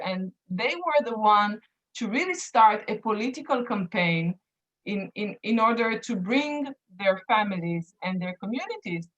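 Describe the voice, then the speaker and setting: A woman's voice: mid-range voice, a bit bright, lo-fi sound. Earnest woman in her thirties. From Israel. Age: 30s. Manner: earnest, many fillers and restarts. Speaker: activist and association chairperson. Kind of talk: personal account in an interview.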